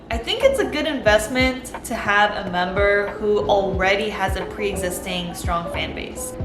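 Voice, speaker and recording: A young woman vlogger chatting casually and enthusiastically, peppering her talk with "like". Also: mid-range voice. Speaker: vlogger reviewing a TV show. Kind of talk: casual chat. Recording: roomy echo with background music. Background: soft background music.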